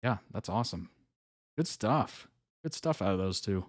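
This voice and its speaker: A man hosting a reaction video, giving relaxed, chuckling commentary in short approving remarks. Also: on the low side, moderate pace, dry recording, studio quality.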